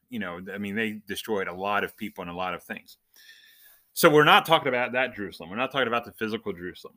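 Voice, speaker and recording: A man's voice: lowish voice, pushed and bright. A man hosting a podcast, giving an informal, conversational Bible lesson, explaining and asking questions as he goes. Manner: conversational, explanatory, asks questions and answers them.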